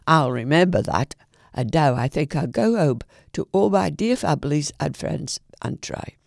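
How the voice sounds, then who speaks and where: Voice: medium pitch, lively intonation, moderate pace, creaky, no room sound, clean studio sound. A storyteller voicing a curious, cheeky young elephant calf in a storybook reading, polite on the surface and boastful underneath.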